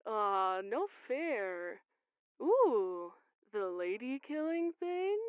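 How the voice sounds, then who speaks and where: A goofy stoned teen boy caller, voiced by a woman, high-pitched and very animated. He drawls at an unhurried pace in slangy, excitable audio drama style. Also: smooth, no echo, narrow, tinny audio, exaggerated voice. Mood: goofy, amused. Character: goofy stoned teenage boy calling a radio show.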